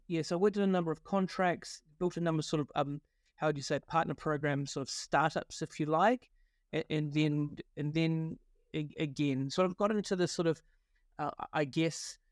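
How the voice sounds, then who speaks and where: A man in his forties with a New Zealand accent, answering interview questions in a halting way, with many fillers and restarts. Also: a little high, no room sound, studio quality. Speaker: guest, partnership consultant. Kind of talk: podcast interview.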